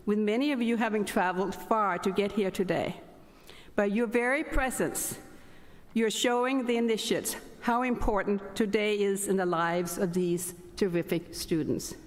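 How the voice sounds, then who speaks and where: A middle-aged woman from Denmark with a Danish accent, hosting a formal ceremony with chatty asides. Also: mid-range voice. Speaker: ceremony host, chapter president. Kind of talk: formal welcome speech. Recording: reverberant hall.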